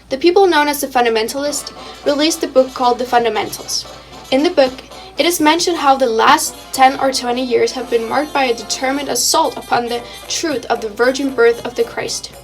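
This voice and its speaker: A woman's voice: sits high, low-quality recording. A young woman reading a scripted news report in the style of a 1920s radio program.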